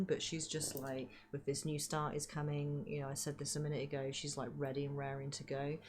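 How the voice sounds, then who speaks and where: A woman giving a casual tarot reading, chatty with many fillers, her voice a little hoarse as she loses it. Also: low-pitched, darkish tone. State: losing her voice. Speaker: tarot reader.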